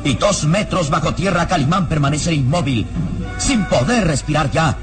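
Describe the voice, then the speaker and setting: A man's voice: a little high, animated, normal speed. A man narrating a scripted radio serial in a grave, theatrical, suspenseful manner. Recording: vintage radio drama with music behind.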